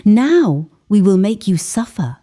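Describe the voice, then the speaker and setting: A woman's voice: medium pitch, sing-song, slow pace, warm tone. A woman voicing tiny, accusing baby spirits in an eerie folktale. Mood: vengeful. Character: vengeful ghost babies, tiny accusing spirits.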